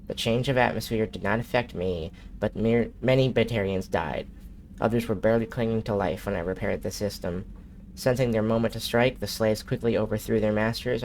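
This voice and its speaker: A man with a low, gravelly voice and a nasal edge reads in-game messages aloud at an unhurried pace, now and then stumbling and restarting a word. A faint hiss of noise sits under the recording. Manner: steady, unhurried reading with occasional slips and restarts. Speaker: streamer. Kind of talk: reading in-game messages aloud during a live gameplay stream.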